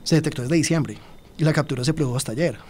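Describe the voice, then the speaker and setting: Hombre colombiano que habla rápido. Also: male voice, a little high, fast, a little mellow, husky. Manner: explanatory, addresses listeners directly. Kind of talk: radio interview.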